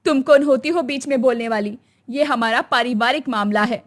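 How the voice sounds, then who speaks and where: A woman's voice: fairly high pitch, moderate pace, natural voice. A woman voicing a traditional older mother-in-law, stern and scolding but tender underneath.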